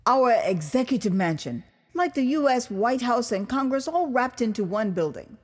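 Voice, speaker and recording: A woman's voice: a little high, animated, moderate pace, pitched above the performer's own voice. A woman voicing a proud, earnest Liberian government man in an audio drama, with a Liberian accent and an emphatic, formal way of repeating himself. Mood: friendly, formal. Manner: emphatic, repetitive, addressing listeners formally.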